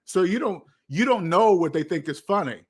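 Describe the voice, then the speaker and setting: Higher-pitched American man livestreaming. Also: animated, moderate pace, breathy edge. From United States. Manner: rambling, repeats phrases for emphasis. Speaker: livestream host. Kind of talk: casual livestream chat, answering viewer comments.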